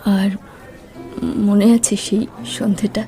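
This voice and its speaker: An actress playing a gentle, nostalgic middle-aged woman reunited with an old love in a romantic audio drama, reflective and soft. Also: mid-range voice, level tone, takes it slowly, faint music behind, natural voice. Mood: fond nostalgia.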